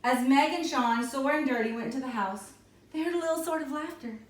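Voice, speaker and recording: A woman reading a storybook aloud to schoolchildren in an expressive narrating style. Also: high voice, fairly quick. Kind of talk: expressive children's read-aloud. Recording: echoey room, distant microphone.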